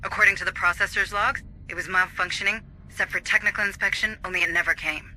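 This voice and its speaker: A woman playing a brisk, authoritative military officer in a video game, commanding and businesslike. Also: mid-range voice, fairly even intonation, pushed and bright, soft background music, poor audio. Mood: composed, explanatory.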